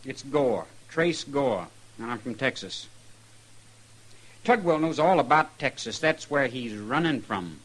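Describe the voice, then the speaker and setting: A man's voice: medium pitch, animated, a little slow, husky, poor audio. An American man acting a cocky, vengeful young Texan gunman who taunts and swaggers in a radio western. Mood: taunting. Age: young adult.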